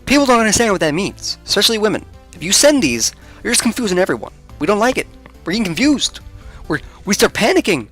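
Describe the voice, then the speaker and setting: A man's voice: sits high, very animated, quick pace, fairly bright tone, breathy edge, pitched above the performer's own voice, full character voice. A man voicing an elderly courtroom judge in a video game, fussy and emphatic, easily flustered. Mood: flustered, scolding. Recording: music under the voice.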